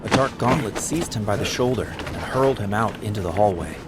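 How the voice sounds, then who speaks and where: Male audiobook narrator, low register, dramatic scripted delivery over clearly audible ambient noise. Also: lively intonation, brisk.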